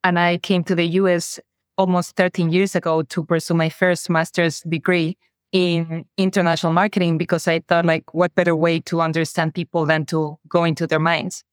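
A woman with a Mexican accent, her first language Spanish, speaking in a somewhat low voice. She recounts her path earnestly and thoughtfully, in a dry, close recording with no room sound. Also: little pitch movement, moderate pace, no room sound. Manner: earnest, reflective storytelling. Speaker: guest, revenue operations director. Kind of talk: interview conversation.

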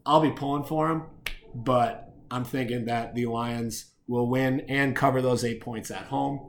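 Man, sports show host, commentary and picks, opinionated, slangy, mid-pitched voice. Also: steady tone, unhurried.

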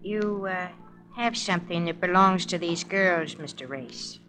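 Stern, low-voiced old granny. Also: female voice, measured pace, bright, forceful tone, faint music behind. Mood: cold, commanding. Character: stern, ruthless old grandmother gangster. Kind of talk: 1940s radio acting.

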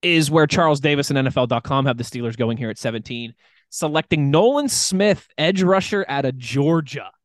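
A man's voice: mid-range voice, expressive, fairly quick, dry recording, studio quality. Upbeat man hosting a Pittsburgh sports show. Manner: upbeat, chatty, steering the segment. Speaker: sports radio host. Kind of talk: casual sports chat.

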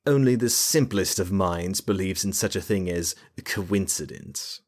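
A man's voice: fairly low pitch, big pitch swings, measured pace. A man voicing an arrogant male mage who speaks with haughty condescension. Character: arrogant, disdainful male mage, aloof prisoner.

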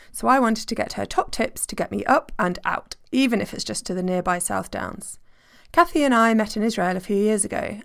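A woman's voice: mid-range voice, gravelly. A woman hosting a travel podcast, reading a scripted welcome and then interviewing her guest with short, friendly questions. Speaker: podcast host.

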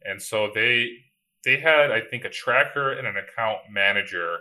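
A man's voice: on the low side, moderate pace, bright, forceful tone, rough recording. A man, a company founder telling his story in a casual, easygoing way, full of repeated words and "like". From Chicago, USA. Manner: easygoing, frequent word repeats and "like" fillers. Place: remote call from home.

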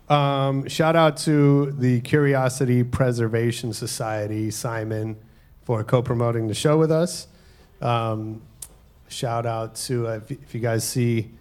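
A man's voice: mid-range voice, clean tone. A man hosting a live podcast at a hotel event, casual and joking, with lots of ums and uhs. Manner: casual, filler-heavy, joking. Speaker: podcast host.

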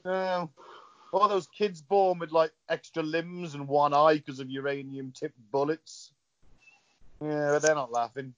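A man's voice: a little high, steady tone, a little slow, no room sound. A man with a slightly raised voice banters dryly with his co-hosts. The sound is dead dry and a little dull and lo-fi. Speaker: podcast co-host. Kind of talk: casual banter.

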